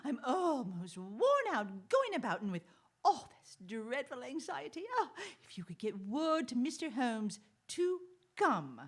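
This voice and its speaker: Flustered lady for radio drama, played by a woman: high, husky, swooping voice, fretful and pleading. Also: sing-song, unhurried, exaggerated voice. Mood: anxious pleading. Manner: breathless repetition, fretful, effusive. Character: flustered, anxious, pleading society lady visitor. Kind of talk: theatrical radio drama acting.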